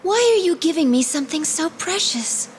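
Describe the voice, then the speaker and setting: An actress playing a proud, dutiful young warrior princess with formal, earnest delivery in a video game drama. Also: female voice, high-pitched, lively intonation, fairly quick, slight background noise. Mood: solemn.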